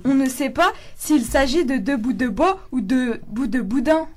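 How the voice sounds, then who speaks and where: A woman's voice: high voice, lively intonation, normal speed. A woman playing a literal-minded, stubborn pianist in a comic radio sketch.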